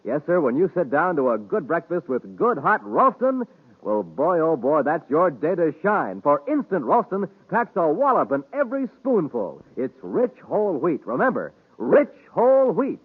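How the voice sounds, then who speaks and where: A man's voice: fairly high pitch, big pitch swings, moderate pace, breathy edge. A man announcing and selling on 1950s radio with folksy, enthusiastic sales patter. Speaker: announcer and sponsor pitchman. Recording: tinny 1950s radio recording.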